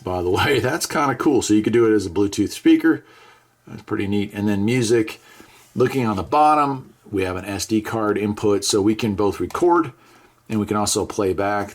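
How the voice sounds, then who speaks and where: An American man hosting a casual product review, talking conversationally and enthusiastically and repeating phrases for emphasis. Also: on the low side. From United States. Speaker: radio reviewer and video host. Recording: clear background noise, clicks of handling.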